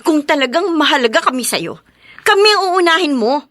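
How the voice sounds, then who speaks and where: An actress playing a proud, embittered young wife in an emotional, confrontational radio drama style. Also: female voice, high voice, lively intonation, fairly quick, a bit bright, dry recording, studio quality. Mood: resentful.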